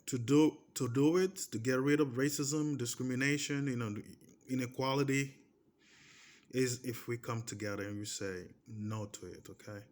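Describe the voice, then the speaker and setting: A man in his thirties speaking English with a Portuguese accent, his first language being Portuguese. His voice sits at an everyday middle pitch. He talks to camera in a casual, emphatic way, repeating himself and stacking restarts as he drives each point home. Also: steady tone, normal speed, darkish tone. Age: 30s. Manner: emphatic and repetitive, restarting phrases and pressing points with "okay?". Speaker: vlogger. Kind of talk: casual personal monologue to camera.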